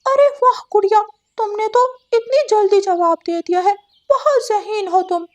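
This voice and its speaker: A woman voicing a cheery parrot schoolteacher with a squeaky, encouraging voice in a cartoon. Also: moderate pace. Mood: praising. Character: cheery parrot schoolteacher praising little birds.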